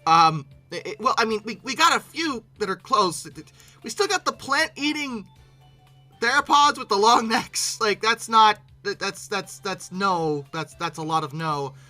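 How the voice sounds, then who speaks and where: An exasperated commentator giving an informal, unscripted reaction, animated and often stumbling over repeated words in disbelief. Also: medium pitch, faint music behind. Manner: exasperated, incredulous, animated with abrupt outbursts; halting, repeating words when flustered. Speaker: video creator hosting a reaction commentary.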